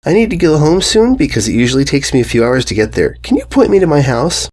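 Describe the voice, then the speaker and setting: A man's voice: a little high, sing-song, quick pace, a little mellow, breathy edge, pitched above the performer's own voice. A man voicing a dim-witted, innocent adult female pony in a storybook, in an airy, naive and upbeat manner. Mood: airy, oblivious. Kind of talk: storybook narration character voice.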